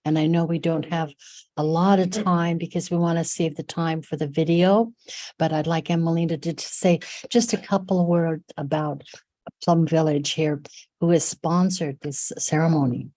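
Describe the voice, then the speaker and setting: A woman speaking on a video call, halting and hesitant with many fillers. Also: low-pitched, fairly even intonation, mellow. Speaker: guest speaker. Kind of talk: casual video call remarks.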